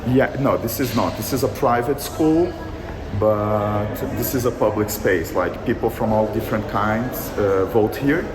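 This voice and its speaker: A man's voice: fairly low pitch, a little slow. A man from southern Brazil with a Brazilian Portuguese accent, answering a street interview in English, hesitant and earnest, with many fillers and restarts. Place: outdoor polling site in a busy city crowd. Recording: echoey street with loud crowd.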